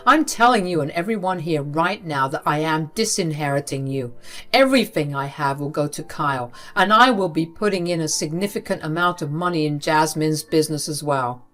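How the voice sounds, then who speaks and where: A woman's voice: sits low, a little fast, a bit bright, faint music behind. Brief: a stern elderly father laying down the law, read plainly by a woman. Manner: firm, scolding.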